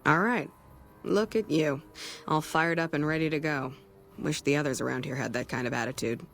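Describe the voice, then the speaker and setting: An actor playing a weary, blunt ranger sniper in a video game, with dry, sardonic delivery. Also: male voice, fairly high pitch, moderate pace, vocal fry, fairly bright tone, faint music behind. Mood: approving, cautioning. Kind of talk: video game dialogue acting.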